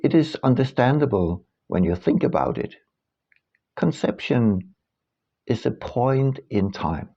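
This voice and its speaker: A man narrates an audiobook very slowly in a gravelly, creaky voice. His pitch swings widely as he stresses key words, with deliberate pauses between phrases. The recording sounds somewhat dull and lo-fi. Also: very animated, dark tone. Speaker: author reading their own book.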